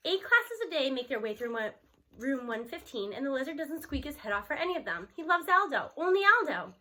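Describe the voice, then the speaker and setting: A woman's voice: high-pitched, very animated, fairly quick, sharp tone. An American woman reading a children's novel aloud, telling it expressively in the first person as a teenage narrator. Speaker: storybook reader.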